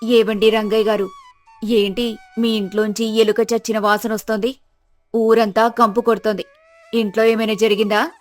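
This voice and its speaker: A woman's voice: a little high, flat delivery, moderate pace, long pauses, soft background music, close to an everyday voice. A woman voicing a nosy, blunt village neighbour in a moral story, probing and scolding in disgust. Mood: suspicious.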